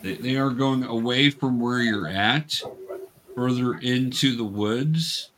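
A man's voice: mid-range voice, slow. Man patiently running a tabletop game, noisy recording. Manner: patient, step-by-step explaining. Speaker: game master teaching young players. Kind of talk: conversational tabletop game narration and coaching.

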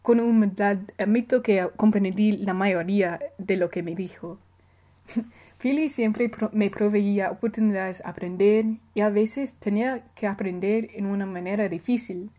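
Mellow-voiced woman reading her essay. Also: mid-range voice. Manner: careful, measured reading with small self-corrections. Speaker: writer. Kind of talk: reading her own personal essay aloud.

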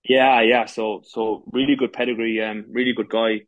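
A man's voice: medium pitch, flat delivery, moderate pace, no echo, lo-fi sound. An Irish man in his thirties, a footballer and coach talking as a podcast guest, with many restarts and fillers. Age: 30s. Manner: many false starts and fillers. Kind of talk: casual interview talk.

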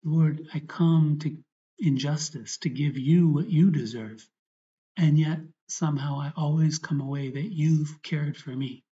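A man speaking as a priest leading a guided prayer meditation, reverent and earnest, in short phrases with pauses. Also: a little high, steady tone, mellow, dull audio. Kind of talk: guided prayer, spoken meditation and gospel reading.

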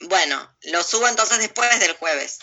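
Casting: Argentine woman, ironic and provocative talker, piercingly bright, forceful delivery, slightly dull lo-fi audio. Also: lowish voice, animated, normal speed. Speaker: interview guest with own video channel. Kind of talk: opinionated casual conversation.

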